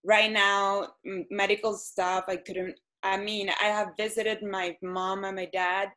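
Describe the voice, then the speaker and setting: A woman, answering interview questions hesitantly with many pauses and ums. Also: mid-range voice, level tone, bright, forceful tone. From Venezuela. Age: 30s. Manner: hesitant, frequent ums and restarts. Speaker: guest.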